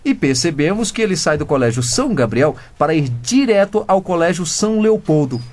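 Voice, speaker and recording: A rebellious teenage son, acted by a man, in a comedic radio drama. His voice sits high and leaps about with lively intonation. He talks back in slang and puts on the pompous air of a crusading editor. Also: a little fast, lightly acted. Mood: cocky. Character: cheeky rebellious 15-year-old son, self-styled newspaper editor.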